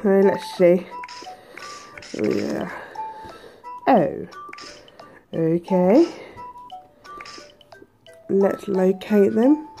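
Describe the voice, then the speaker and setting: A woman hosting a let's play, chatty and hesitant with lots of ums, her voice a bit croaky from a cold. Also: medium pitch, big pitch swings, drawn-out words, pauses often, warm tone, soft background music. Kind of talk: casual game commentary. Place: indoors at a table.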